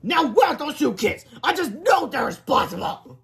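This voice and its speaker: A reader voicing a grumpy middle-aged male school principal who shouts and huffs. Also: mid-range voice, lively intonation, moderate pace, creaky. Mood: furious. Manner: shouting, huffing, bellowing.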